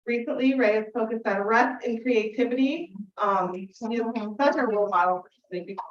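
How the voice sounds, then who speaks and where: A woman's voice: fairly high pitch, a little fast. A woman host introducing a reader to an audience, reading a bio with enthusiasm and frequent fillers. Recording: echoey room, far from mic, dull sound.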